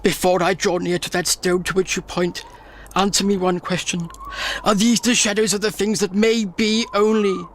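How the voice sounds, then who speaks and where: An actor playing a repentant old miser in a radio play, speaking in an impassioned, theatrical way. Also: male voice, sits high, level tone, normal speed, a bit bright, a little hiss or hum. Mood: fearful, pleading. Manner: impassioned, declamatory, pleading. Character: repentant old male miser, fearful then giddy with joy. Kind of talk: theatrical radio drama acting.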